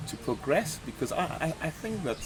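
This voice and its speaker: A man's voice: fairly high pitch, very animated, moderate pace. A man hosting a podcast interview, asking questions and affirming often. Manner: frequent backchannel affirmations. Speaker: podcast host. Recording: audible background noise.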